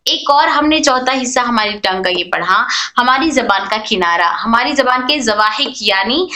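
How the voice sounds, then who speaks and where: A woman's voice: on the high side, lively intonation, normal speed, sharp tone. A woman teaching Quranic letter pronunciation in a lesson, repeating each point and quizzing the listener, mixing English terms into her Hindustani. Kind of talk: explanatory lesson. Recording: echoey room.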